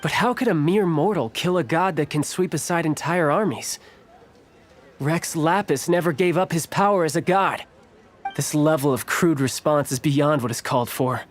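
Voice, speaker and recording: An actor playing a playful young foreign envoy with hidden cunning in a fantasy game, smooth and teasing. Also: male voice, fairly high pitch, close to an everyday voice. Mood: intent, urging. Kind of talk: fantasy video game voice acting.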